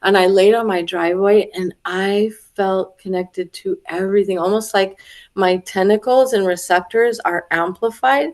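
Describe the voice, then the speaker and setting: A young woman in casual conversation, animated and laughing as she acts out quoted voices, a little stuffy from a head cold. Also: medium pitch, moderate pace. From Florida. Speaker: retreat attendee.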